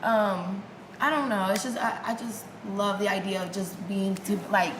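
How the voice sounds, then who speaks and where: A woman's voice: medium pitch, fairly even intonation, normal speed, roomy sound, noisy background. A young woman from Argentina whose first language is Spanish, a model and actress interviewed in a loft, bubbly and chatty with lots of "like" and "you know". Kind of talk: casual interview. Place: loft with big windows.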